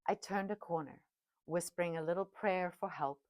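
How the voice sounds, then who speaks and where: A woman's voice: fairly low pitch, normal speed, no echo, clean studio sound. A middle-aged woman, an Afrikaans speaker, reading her own memoir aloud at home with reflective, chatty asides. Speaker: podcast host and author. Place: home dining room.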